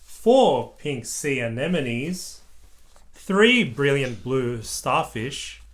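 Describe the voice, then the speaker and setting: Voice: medium pitch, very animated, very slow. An adult reading a children's picture book aloud, chatting and prompting a small child with simple questions.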